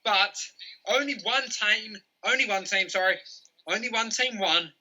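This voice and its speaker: A man's voice: sits high, unhurried, sharp tone. A man hosting a quiz and reading out results, hesitant and often correcting himself. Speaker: quiz host. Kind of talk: announcing quiz results on a livestream.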